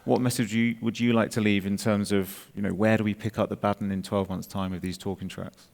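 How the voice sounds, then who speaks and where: A man with a low, gravelly voice moderates a conference panel. He steers the questions politely, with some fillers. The hall adds a long reverb. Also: flat delivery, brisk, a little mellow.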